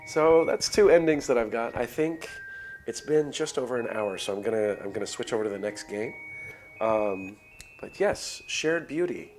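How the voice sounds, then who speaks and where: A man hosting a game livestream, talking casually to viewers in a halting way, thinking aloud as he goes. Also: mid-range voice, animated, darkish tone, rough recording. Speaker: game streamer, host. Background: game music.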